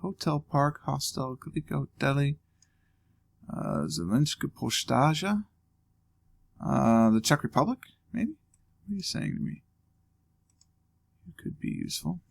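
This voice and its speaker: A man talks through a guessing game, half to himself, musing and joking as he goes. His voice is gravelly and his pitch rises and falls a lot, with a casual, chatty let's-play delivery. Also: expressive, unhurried, long pauses. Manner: thinking aloud, musing and joking. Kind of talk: casual gameplay commentary.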